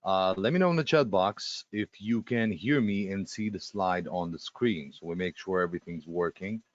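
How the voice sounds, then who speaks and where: A man with a Russian accent and a very deep voice hosts a live online webinar. He talks in a chatty, loose way, full of fillers and restarts. The audio is a little dull and lo-fi. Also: normal speed. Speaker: webinar host.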